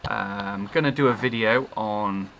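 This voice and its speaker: A man talking casually and haltingly in a low-key, unscripted showcase of something he made. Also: fairly bright tone, a little hiss or hum. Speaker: maker showing his work.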